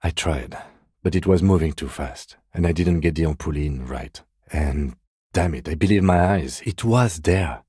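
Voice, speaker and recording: A man playing a calm, composed middle-aged police detective in a video game, speaking in a measured, restrained and precise way. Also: extremely low pitch, husky, no room sound, studio quality, exaggerated voice. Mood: sober, focused. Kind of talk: video game voice acting, understated dialogue.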